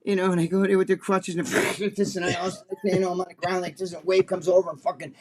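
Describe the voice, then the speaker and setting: A man's voice: fast talker, crowd noise. An older man with a New Jersey accent, telling a story on a casual podcast. He swears often and acts out quoted lines in a raised voice. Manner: animated, profane, acts out quotes in a high voice. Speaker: podcast co-host.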